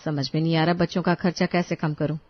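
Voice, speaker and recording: A woman voicing a worried middle-class mother in an animated story, talking in an anxious, fretful way. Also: deep voice, quick pace, no room sound. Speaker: voice actor. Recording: dull, dry studio recording.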